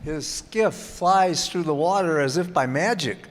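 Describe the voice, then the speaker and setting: A man's voice: on the high side, measured pace, lightly acted. A man playing an astonished onlooker, declaiming earnestly in old radio melodrama style. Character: astonished male onlooker at the shore. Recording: echoey hall, live stage.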